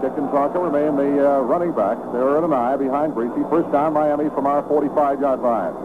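A man's voice: medium pitch, brisk. A man calling live football play-by-play on the radio in clipped, vivid phrases, siding with the home team. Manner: clipped, vivid present-tense calls, partisan for the home team. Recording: tinny, very noisy vintage radio broadcast.

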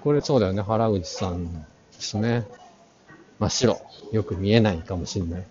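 A man's voice: fairly low pitch, normal speed, a little mellow, a little hiss or hum. A young man giving casual, offhand vlog commentary as he walks around a large indoor hall, recorded at arm's length. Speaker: vlogger. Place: large busy art fair hall, indoors. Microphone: wide-angle camera held at arm's length. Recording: dull lo-fi sound, busy crowd. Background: crowd chatter.

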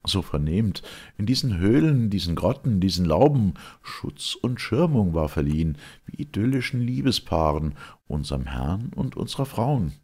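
A man's voice: sits low, sing-song, normal speed, hardly any pauses, darkish tone, slightly rough, heavily acted. A man voicing an ancient, boastful hag who tells tales in theatrical, declaimed verse drama. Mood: confiding, animated. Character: ancient sinister hag storyteller Phorkyas, animated and boastful.

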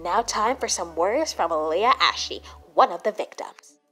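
A woman playing a brisk, showy news reporter in an amateur animated film. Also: lowish voice, soft background music. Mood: dramatic announcing. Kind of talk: scripted news parody in amateur drama.

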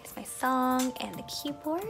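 A woman's voice: sits high, a little slow, creaky. A young woman from the United States, cheerful and upbeat, talking into her phone camera at home. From USA. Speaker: viewer sharing news. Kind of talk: casual video message. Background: music.

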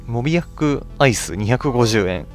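A man's voice: mid-range voice, expressive, moderate pace, faint music behind. A man hosting a let's play, chatting casually to viewers and reading bits of on-screen text aloud as he goes.

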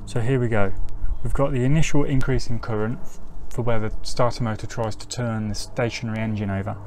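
A man's voice: medium pitch, steady tone, fairly quick, a little mellow. A middle-aged man working as a mechanic, giving an informal, step-by-step technical tutorial outdoors on a driveway. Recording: clear ambient noise, outdoor driveway.

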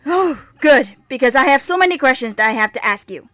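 Cartoon fairy warrior princess, played by a woman: high, swooping pitch, sassy, bold yet wary, dramatic delivery. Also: animated, brisk, a bit bright, no echo, studio quality. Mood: suspicious, demanding. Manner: dramatic, sassy, hesitant stammers. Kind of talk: amateur cartoon character voice lines.

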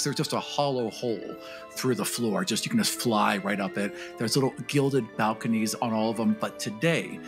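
A man narrating a tabletop game story as game master. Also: lowish voice. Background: music.

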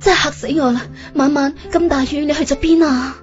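A woman's voice: sits high, steady tone, brisk, highs cut off, faint music behind, lightly acted. A woman playing an anxious, short-tempered young mother in a scripted audio drama. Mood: worried.

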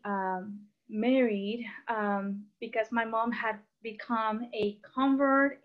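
A woman in her thirties from Costa Rica telling her life story as a personal testimony over a video call. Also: medium pitch. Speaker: guest sharing her testimony. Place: room at home, indoors. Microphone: computer mic on a video call. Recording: dull, lo-fi video call audio.

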